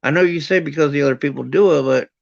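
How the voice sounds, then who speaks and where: Gravel-voiced man, slightly higher pitch, dry dull audio, quick questions. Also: no echo. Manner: brief interjections and follow-up questions.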